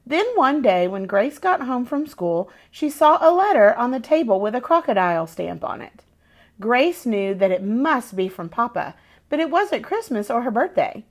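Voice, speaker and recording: A woman reading a children's picture book aloud as an expressive narrator. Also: on the high side, moderate pace.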